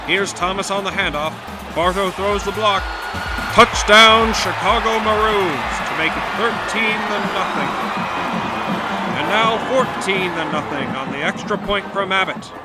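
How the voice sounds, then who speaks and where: Excitable, high-pitched old-time football announcer. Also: male voice, a little slow, a loud crowd. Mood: excited. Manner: shouting, excited. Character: excited 1920s male football radio play-by-play announcer. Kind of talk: old-time sports commentary. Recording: music behind the voice.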